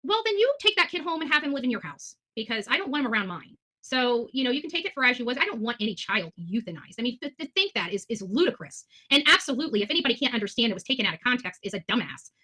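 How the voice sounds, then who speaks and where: A woman with an American accent and a very sharp, forceful voice, a bit higher than most. She talks fast and bluntly, arguing her side in unscripted live chat and swearing freely. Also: very animated. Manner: blunt, combative, profane. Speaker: guest answering audience questions; talk show host.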